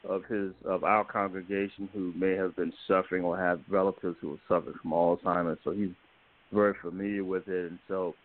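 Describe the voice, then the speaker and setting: An American man from Washington, D.C., a guest director giving measured, polite, somewhat hesitant interview answers. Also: low-pitched, little pitch movement. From Washington, D.C., United States. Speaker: guest film and stage director. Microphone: telephone. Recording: tinny narrow phone line.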